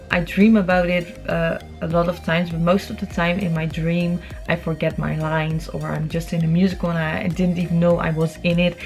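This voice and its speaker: A woman's voice: fairly low pitch, a little mellow. A young woman with a Dutch accent casually answering questions in a chatty vlog style. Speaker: vlogger and amateur singer. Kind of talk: casual Q&A chat to camera. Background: background music.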